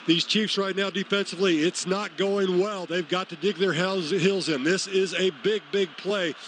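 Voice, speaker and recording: A man's voice: high-pitched, fairly even intonation, moderate pace, a bit bright. An American man giving conversational color analysis during a live football radio broadcast. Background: faint stadium noise.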